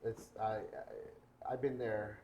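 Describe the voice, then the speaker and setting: A man's voice: fairly low pitch, flat delivery, slow pace, a little mellow, echoey room, a little hiss or hum. A man from Guatemala hosting a podcast, chatting casually and telling anecdotes. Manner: conversational, tells anecdotes. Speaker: podcast host. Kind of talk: casual interview chat.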